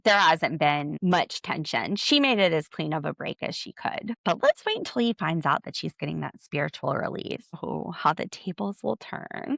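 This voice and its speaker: An American woman hosting a podcast, giving casual, opinionated commentary in an animated, sarcastic, slangy way. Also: medium pitch, gravelly, no echo, studio quality. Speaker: podcast host.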